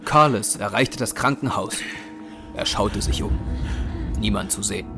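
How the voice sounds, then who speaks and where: A man's voice: lowish voice, measured pace, creaky. A man narrating a scripted dramatic audio story. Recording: very loud music and battle effects behind.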